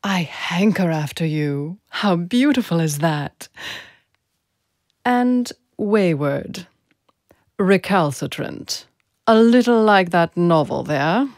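An actress playing a chatty, eager talking dictionary in an audio play, proud of its words and fond of explaining them. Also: female voice, fairly low pitch, lively intonation, takes it slowly, a little mellow, no echo, clean studio sound. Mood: teasing. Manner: friendly, wordy, explaining words.

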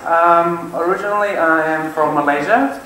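A man's voice: on the high side, moderate pace, far from the mic. A young man performing a casual, joking skit on a large stage, part of a student production. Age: young adult. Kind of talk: amateur stage play dialogue. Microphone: far stage microphones. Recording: very echoey hall with noise.

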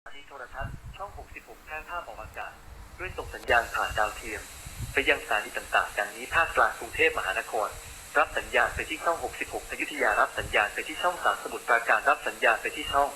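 A man's voice: medium pitch, lively intonation, fast, bright, forceful tone. A man reading a formal scripted station announcement as a television continuity announcer. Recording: slightly noisy old TV broadcast audio.

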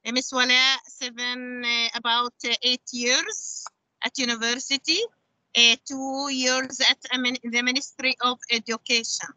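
A middle-aged woman from Palestine hosting a webinar, reading out chat answers slowly with many fillers, over a video call. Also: fairly high pitch, little pitch movement, sharp tone, no room sound. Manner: halting, many fillers, slow careful reading. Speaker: webinar host. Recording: dull lo-fi video call audio.

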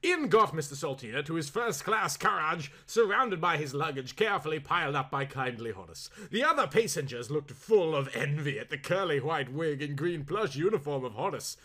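Man, storybook narrator, raised pitch, very lively intonation, theatrical delivery. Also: normal speed, few pauses, slightly rough. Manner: theatrical, expressive reading. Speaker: audiobook reader.

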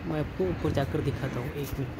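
A man explaining an installation in a casual walkthrough, halting and repeating words, filmed on a handheld phone on a rooftop and indoors. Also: a little high, heavy background noise. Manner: halting, repeats words and restarts while pointing things out. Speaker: electrician showing an installation. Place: rooftop outdoors, then indoor stairwell and wall. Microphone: handheld phone camera, filming himself off-frame.

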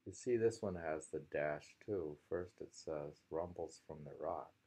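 A man in his sixties reading poems aloud with informal commentary, musing and pausing as he goes in a gravelly voice. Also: rumbling low, warm tone. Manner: unhurried, musing, with pauses. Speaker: poetry reader. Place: small room indoors at home.

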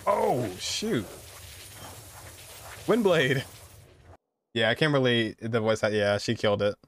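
A young man chatting casually and excitedly as a game streamer, exclaiming in slang as he reacts. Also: mid-range voice, takes it slowly, slight background noise. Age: young adult. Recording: game music behind. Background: video game music.

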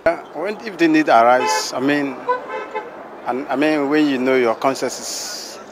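A man's voice: medium pitch, unhurried, background noise. A young man answering a street interview hesitantly with many restarts into a handheld mic. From Nigeria. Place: city street outdoors. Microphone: handheld mic held by interviewer. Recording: crowd chatter behind.

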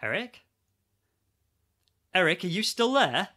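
A posh male ex-army geologist in a radio play, played by a male actor, with a forceful, slightly high voice. He is trapped underground and talks in a sardonic, needling way, always ready to argue. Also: big pitch swings, slow, exaggerated voice. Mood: urgent.

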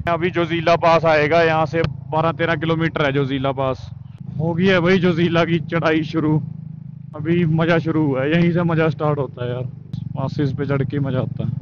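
A young man giving a casual, joking running commentary to viewers while riding a motorbike on a mountain road. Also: a little high. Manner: chatty, joking, addresses viewers as bhai. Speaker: motorcycle vlogger. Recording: muffled with loud road and wind noise.

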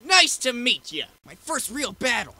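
Super high creaky guy, excitable amateur dub acting, dry mic. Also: measured pace, dry recording. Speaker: amateur fandub voice actor.

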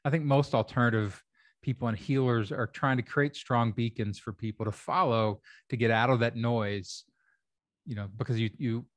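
A man's voice: medium pitch, fairly even intonation, normal speed, dry recording. A middle-aged man from Kentucky hosting a podcast, musing in a casual chat with wry joking asides, speaking into a desk microphone at home. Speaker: podcast host. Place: home room. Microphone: desk microphone on arm.

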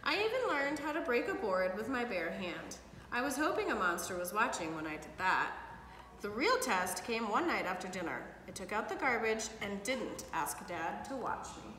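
Animated woman reading aloud in an echoey room. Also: medium pitch, moderate pace. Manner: expressive, animated storytelling. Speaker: children's storybook reader. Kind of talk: read-aloud of a picture book for children. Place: living room, indoors. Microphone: camera a few feet away. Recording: echoey hard-walled room, some background noise.

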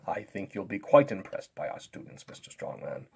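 Male performer as patient children's maths teacher; gentle, quiet, unhurried delivery with a lowish, breathy voice. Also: big pitch swings, full character voice. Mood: amused, polite. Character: patient, soft-spoken male teacher, gently amused, polite. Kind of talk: kids' multiplication lesson skit.

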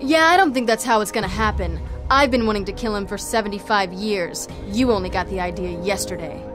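A woman's voice: medium pitch, brisk, music in the background, lightly acted. A woman playing a tough, sardonic half-vampire action heroine in a video game cutscene, wry and mocking.

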